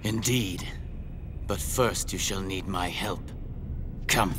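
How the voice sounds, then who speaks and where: Low-voiced, stern warrior hunter. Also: male voice, takes it slowly, background noise. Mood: commanding. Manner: commanding, formal archaic phrasing. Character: stern, proud male vampire hunter warrior. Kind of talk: dramatic video game acting. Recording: music behind the voice. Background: music.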